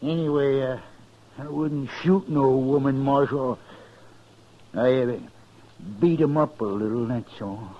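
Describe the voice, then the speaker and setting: An actor playing a sullen, brutish drunk in a 1950s western radio drama, with halting, rambling speech. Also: male voice, mid-range voice. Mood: sullen, defensive. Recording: muffled old radio recording.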